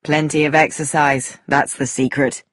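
Woman narrator as quiet man, calm, low pitch, understated, plain-spoken, audiobook dialogue. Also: moderate pace, husky, no echo. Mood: breezy.

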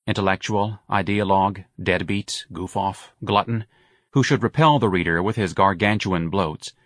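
A man narrating a literary audiobook in a measured, articulate and expressive manner. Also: fairly low pitch, no echo, studio quality.

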